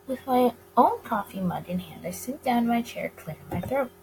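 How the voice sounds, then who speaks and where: Higher-voiced woman reading a story, noisy background. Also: lively intonation, normal speed, a little mellow. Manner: stumbles over words and rereads lines. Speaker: gamer reading a visual novel aloud. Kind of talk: let's play narration, reading story text aloud. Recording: clear background noise.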